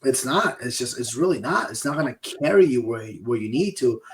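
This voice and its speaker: A man's voice: medium pitch, expressive, brisk, slight background noise. A man in his forties with a Peruvian accent, talking casually as a podcast co-host. He sounds upbeat and motivational, cheering his listeners on. His voice sits right in the middle of the male range. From Peru. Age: 40s. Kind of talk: casual business podcast chat.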